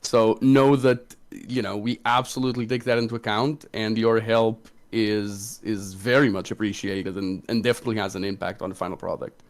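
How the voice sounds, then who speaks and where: A man's voice: fairly low pitch, steady tone, moderate pace. A man who designs tabletop games, chatting casually as co-host of a question-and-answer livestream.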